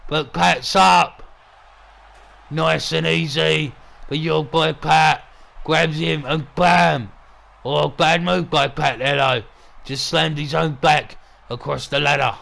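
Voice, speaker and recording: A gruff, crude wrestling boss, played by a man, calls the action in a heavy gravelly growl. The voice sits a little high and bright, with a forceful edge. He talks bluntly, swears freely and sounds like a threat. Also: steady tone, takes it slowly, heavily acted. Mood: gruff, measured. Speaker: wrestling commentator. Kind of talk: wrestling commentary with in-character banter.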